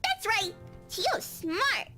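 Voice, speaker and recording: Chatty small girl for a visual novel; tiny high voice, unhurried, eager, gleeful. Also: very animated, sharp tone, full character voice. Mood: proud, eager. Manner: childlike, eager, stumbles on long words. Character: gleeful, trusting little girl, chatty and adoring. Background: game music.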